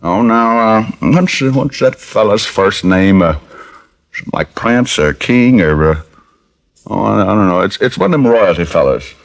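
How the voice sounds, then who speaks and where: An actor playing a detective who puts on a bumbling country bumpkin from rural Arkansas, folksy and halting, in a 1950s radio drama. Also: male voice, fairly low pitch, animated. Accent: put-on rural Arkansas drawl. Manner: halting, folksy, full of uh's.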